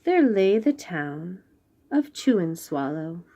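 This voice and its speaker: A woman reading a picture book aloud to young children in an expressive way, with chatty asides and questions about the pictures. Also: lowish voice. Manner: expressive, pauses to point out pictures and ask questions. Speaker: children's read-aloud host.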